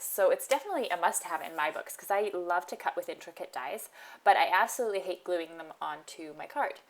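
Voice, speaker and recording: A woman's voice-over for a crafting tutorial, nasal and stuffy from a lingering cold. She talks in a relaxed, chatty way, walking through each step with the odd um. Her pitch sits in an ordinary middle range. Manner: chatty, explains each step, frequent ums. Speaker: card-making tutorial host.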